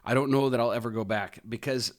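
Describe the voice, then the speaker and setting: A man's voice: medium pitch, steady tone. A man hosting a podcast in a casual, opinionated monologue, stressing words emphatically and rambling into wry asides. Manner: emphatic, drawn-out stresses, mild swearing. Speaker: podcast host.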